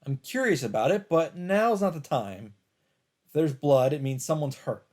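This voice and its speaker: A man doing a let's play, reading a visual novel's narration aloud in a measured storytelling delivery. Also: a little high, expressive, a little mellow.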